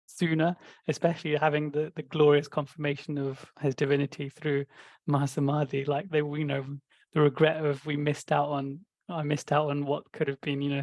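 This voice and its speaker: A man's voice: fairly high pitch, no room sound. A man co-hosting a podcast, talking things through in a thoughtful, explanatory way with many fillers. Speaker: podcast co-host. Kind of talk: casual discussion.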